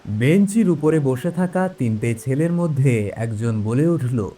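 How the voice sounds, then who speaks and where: Male horror-story narrator, mid pitch. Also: animated, a little slow, warm tone, faint music behind. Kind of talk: scripted audio story narration.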